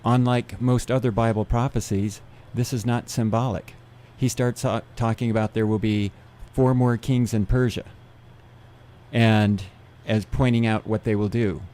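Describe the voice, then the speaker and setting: A man who gives seminars, guesting on a radio interview, explaining things in a steady, methodical way. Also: fairly low pitch, unhurried. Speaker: seminar speaker.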